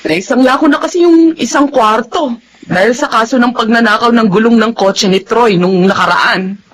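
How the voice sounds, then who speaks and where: A woman's voice: a little high, normal speed, lightly acted, poor audio. An actress playing a distraught, pleading widowed mother in a Filipino radio drama. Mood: troubled, pleading. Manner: pleading, emotional. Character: distraught widowed mother of two troublesome sons.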